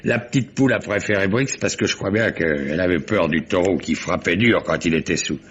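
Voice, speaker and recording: An actor playing a jovial, gossipy old sea captain with a husky voice, rambling colloquially in a French radio mystery. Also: male voice, low-pitched, a little fast, a bit bright. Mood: amused. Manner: colloquial, rambling storytelling punctuated by chuckles. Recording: muffled vintage radio recording.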